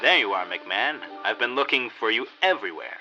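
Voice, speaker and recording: An actor playing a blunt, exasperated senior detective scolding a partner in a parody noir radio drama. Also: male voice, mid-range voice, expressive, brisk, lightly acted. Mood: stern. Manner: scolding, blunt, mock-tough. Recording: dull lo-fi audio under very loud music.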